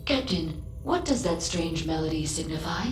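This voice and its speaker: Female android, formal, precise, deadpan, literal-minded, roomy sound, game dialogue, faint music. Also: low-pitched, normal speed. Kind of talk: scripted video game dialogue. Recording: roomy echo, music behind.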